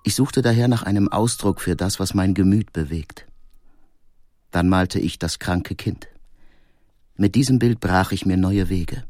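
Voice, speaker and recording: Man playing a deep-voiced, brooding painter. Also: a little mellow, no echo, studio quality. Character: brooding male painter recalling his troubled life. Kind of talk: dramatized first-person reading in an audio biography.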